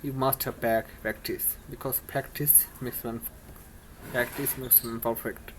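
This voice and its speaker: Bangladeshi guy teaching english, slow, kinda flat, keeps restarting words, faint hum behind. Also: medium pitch. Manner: earnest, halting, with frequent restarts and broken grammar. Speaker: English-speaking coach. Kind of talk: informal English lesson to camera.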